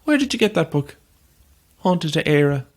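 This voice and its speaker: A man's voice: fairly high pitch, very animated, normal speed. Dry, sceptical man with swooping intonation. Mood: dry, curious. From Ireland. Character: sceptical, grumbling Irish man on holiday. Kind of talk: naturalistic audio horror drama dialogue.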